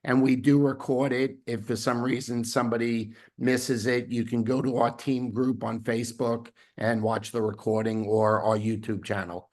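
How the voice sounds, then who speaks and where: A man hosting a weekly team video call, his voice hoarse from laryngitis, rambling with fillers and restarts. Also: mid-range voice, level tone, moderate pace, few pauses, darkish tone. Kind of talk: casual team announcements.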